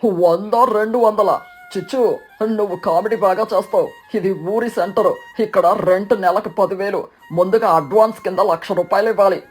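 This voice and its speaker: A woman's voice: medium pitch, moderate pace, soft background music, voice pushed down, lightly acted. A woman voicing a greedy, haughty male stork landlord in a cartoon story, dismissive and mocking.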